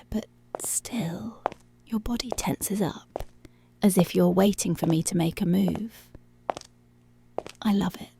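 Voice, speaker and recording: Policewoman, played by a woman: commanding, teasing, seductive ASMR roleplay. Whispery with creaky edges, deliberate and unhurried. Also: medium pitch, noisy background. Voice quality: breathy, whispery. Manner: slow, deliberate, controlling, drawn-out phrases.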